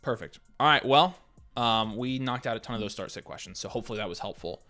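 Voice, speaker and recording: An American man hosting a live fantasy football Q&A, casually thinking aloud as he reads questions and gives quick picks. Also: medium pitch, lively intonation, bright, forceful tone, soft background music. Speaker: fantasy football livestream host.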